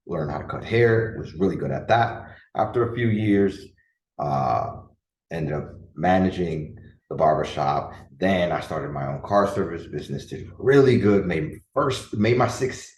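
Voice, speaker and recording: A man's voice: deep voice, far from the mic. A man in his thirties, originally from the Dominican Republic, hosting a casual solo podcast as a coach, talking earnestly with many fillers and restarts. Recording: echoey room.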